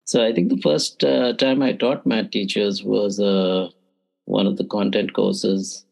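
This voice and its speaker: Deep gravelly-voiced man with many fillers. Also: fairly even intonation, measured pace, darkish tone, poor audio. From India. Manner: frequent "uh" and "you know" fillers, thoughtful. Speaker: math education professor, podcast guest. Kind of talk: relaxed interview answers.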